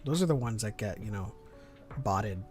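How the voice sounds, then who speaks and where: Podcast host just chatting casually, medium pitch, bit of music in back. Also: expressive, moderate pace, darkish tone. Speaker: podcast host reading viewer chat. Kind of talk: casual fan discussion.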